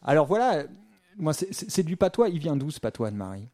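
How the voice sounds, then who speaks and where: A man's voice: on the high side, very animated, brisk, noisy background. A man hosting a casual local radio show from a studio, chatty and leisurely, with plenty of fillers as he introduces his columnists. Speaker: radio host.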